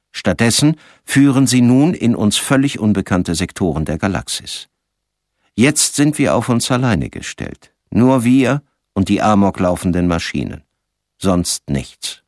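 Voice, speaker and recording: A man reading a science fiction audiobook as narrator, with a measured storytelling delivery. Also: on the low side, dry recording, studio quality.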